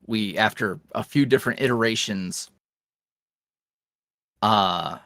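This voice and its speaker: A man's voice: fairly low pitch, slow pace, fairly bright tone, no echo. Rambling young man with a dry voice. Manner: rambling, filler-heavy, self-reflective. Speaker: podcast guest, roleplay creator. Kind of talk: casual interview chat.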